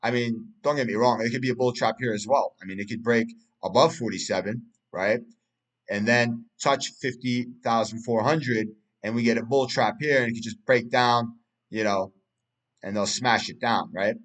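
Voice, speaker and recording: A man's voice: mid-range voice, normal speed, fairly bright tone, low-quality recording. A man, a Turkish speaker, talking through a price chart in casual analysis, rambling with many fillers and restarts. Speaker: crypto market analyst.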